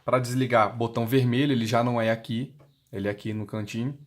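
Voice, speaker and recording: A man's voice: mid-range voice, flat delivery, quick pace. A man with a Brazilian Portuguese accent giving an unscripted, step-by-step product explanation in a plain, instructional manner. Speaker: intercom seller giving a product demonstration. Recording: clearly noisy recording with clicks.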